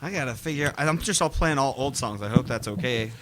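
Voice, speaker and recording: A man's voice: medium pitch, a little fast. Musician guy on a radio show, kinda apologetic, offhand chat between songs, guitar going. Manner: self-effacing, apologetic.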